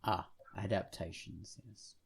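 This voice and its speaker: A man co-hosting a podcast, chatting and reviewing in an animated, rambling, jokey manner. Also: low-pitched, takes it slowly, gravelly, husky. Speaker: podcast co-host. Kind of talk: casual podcast chat and featured comic review.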